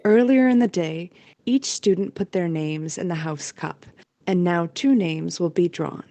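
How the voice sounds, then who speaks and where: A woman's voice: lowish voice, unhurried, warm tone, no room sound. A woman narrating a scripted audio drama as its storyteller.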